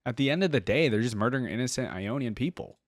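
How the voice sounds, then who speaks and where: A man's voice: medium pitch, lively intonation, quick pace, faint music behind. A man hosting a reaction video, commenting casually and enthusiastically, musing aloud. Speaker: YouTube reaction host.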